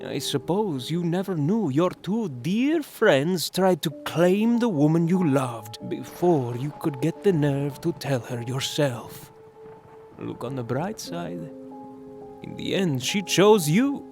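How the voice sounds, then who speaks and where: Guy doing a slow sneering vampire lord, kinda high smooth voice, music under it. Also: lively intonation, darkish tone. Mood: gloating. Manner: silky, taunting, slow and theatrical. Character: ageless, mocking male vampire lord. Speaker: villain. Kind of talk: audio drama acting. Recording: music score behind the voice.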